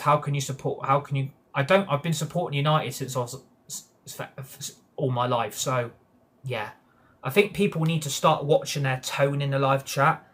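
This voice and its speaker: A man with a mid-pitched voice hosts a live football reaction stream. He rants in a heated way and swears often. He keeps agreeing with comments from his live chat. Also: fairly bright tone. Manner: heated ranting with frequent swearing and repeated agreement. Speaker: football fan channel host. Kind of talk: livestream match reaction, reading live chat.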